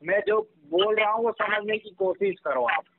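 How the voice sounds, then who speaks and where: A man's voice: sits high, very animated, normal speed. A man arguing on a phone call in an insistent, repetitive and pressuring way. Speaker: caller pressuring the other party. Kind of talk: casual phone argument. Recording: noisy, tinny telephone line with clicks.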